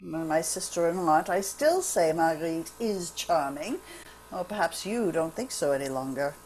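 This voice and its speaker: Anxious loyal Frenchman, played by a woman, animated delivery, radio play read with slight background noise. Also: fairly low pitch, moderate pace, lightly acted. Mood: worried, earnest. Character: anxious, loyal young Frenchman, friend of the hero. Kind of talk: table read of a radio drama. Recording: faint noisy home virtual-call recording.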